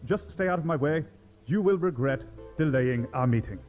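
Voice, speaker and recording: An actor playing an imperious, impatient exhibit director who speaks in a curt, demanding, threatening way. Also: male voice, on the high side, animated, normal speed, phone-quality sound, faint music behind, close to an everyday voice. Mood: menacing, impatient.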